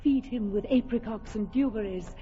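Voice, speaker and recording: A woman's voice: a little high, normal speed, dark tone, close to an everyday voice. Actress as a commanding fairy queen, light-voiced, lyrical verse, muffled radio sound with music behind. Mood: tender. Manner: lyrical, imperious verse delivery. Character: proud enchanted fairy queen, doting and commanding. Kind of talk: classic radio drama verse acting.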